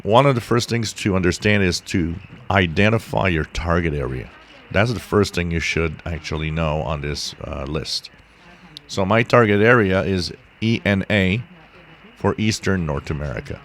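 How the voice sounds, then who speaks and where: Low deep guy walking thru a hobby tutorial, kinda halting and rambly, bit of hiss. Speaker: shortwave radio hobbyist, tutorial host. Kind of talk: informal explanatory screen-share tutorial. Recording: steady hiss behind the voice.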